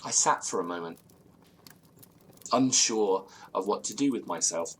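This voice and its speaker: An anxious, formal British gentleman storyteller. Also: deep voice, expressive, unhurried, slightly rough, full character voice. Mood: fearful, suspenseful. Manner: formal, ornate, theatrical phrasing. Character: anxious genteel gentleman recounting a ghost story. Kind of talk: audio drama storytelling, period ghost tale.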